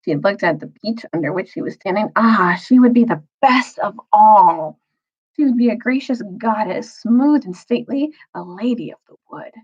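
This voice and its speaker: Expressive woman storyteller with a husky voice. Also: mid-range voice, moderate pace, dull audio. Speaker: storyteller, teacher reading aloud. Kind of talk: expressive children's novel read-aloud.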